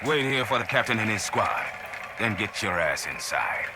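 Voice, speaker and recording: An actor playing a gruff, foul-mouthed marine sergeant who barks commands in a video game cutscene. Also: male voice, on the low side, sing-song, moderate pace, a loud crowd, heavy background noise. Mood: brisk, commanding. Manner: barking, commanding.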